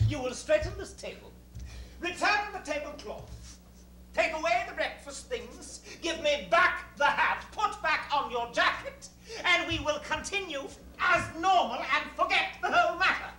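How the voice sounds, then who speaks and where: An actor playing an exasperated, uneasy male hotel boss, halting yet commanding, in a theatrical stage style. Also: very high voice, pushed and bright, heavily acted. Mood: commanding, fed up. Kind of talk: theatrical two-hander stage acting. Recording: distant roomy stage recording with hiss.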